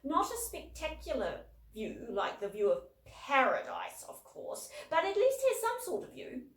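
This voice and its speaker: A breezy, coaxing mother with a bright, lifting tone, as a woman reader voices her. Her pitch rises and falls freely. The voice carries a roomy echo. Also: huge pitch swings, full character voice. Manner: brisk, coaxing.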